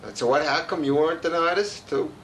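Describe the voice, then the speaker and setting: A man's voice: on the high side, fast talker, a bit bright, a little hiss or hum. Teasing middle-aged man asking questions. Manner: teasing, restarts his questions. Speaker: interviewer, son-in-law. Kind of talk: home video family interview. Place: home in a retirement community.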